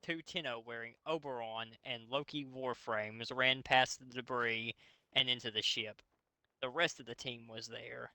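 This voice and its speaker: A man reads fan fiction aloud as host and pokes fun at it. His voice is very bright and forceful, with casual swearing, and the recording is dry and close. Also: mid-range voice, measured pace, no room sound, low-quality recording. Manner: animated, sweary, mocking. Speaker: host reading a story aloud. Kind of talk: fanfiction narration with comic, casual commentary.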